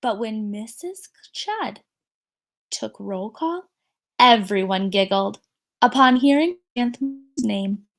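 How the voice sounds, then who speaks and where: A woman's voice: fairly high pitch, expressive, slow pace, gravelly. A woman reading a children's picture book aloud, expressively, drawing out key words for emphasis. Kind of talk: expressive read-aloud storytelling.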